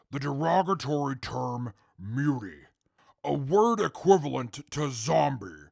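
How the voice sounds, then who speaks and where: An actor playing a calm, articulate male super mutant who testifies in court in a formal, measured way with a gravelly voice. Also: mid-range voice, no echo, studio quality, exaggerated voice. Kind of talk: audio drama acting.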